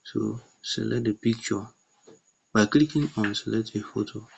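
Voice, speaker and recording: A man's voice: on the low side, a little slow, vocal fry, highs cut off, slight background noise. A man narrating a step-by-step app tutorial in plain, short instructions.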